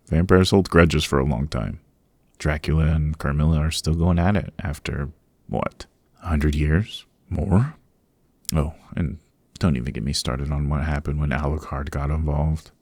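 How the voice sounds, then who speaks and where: A man playing a playful, theatrical vampire over a century old in a chatty ASMR roleplay, with a gravelly, husky voice and rambling asides. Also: extremely low pitch, darkish tone, no echo, exaggerated voice. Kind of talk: ASMR roleplay, close and conversational. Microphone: close, dry microphone.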